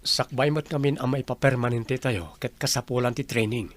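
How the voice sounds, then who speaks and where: A man playing a forceful man of action in an Ilocano radio drama. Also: medium pitch, moderate pace, close to an everyday voice. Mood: resigned.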